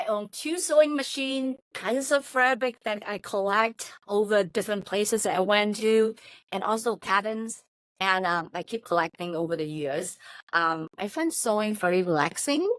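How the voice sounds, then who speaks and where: A woman's voice: mid-range voice, normal speed, fairly bright tone, dry recording. A woman from Hong Kong with a Chinese accent, answering interview questions and telling personal stories as a native Chinese speaker. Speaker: interview guest, technology company chief of staff.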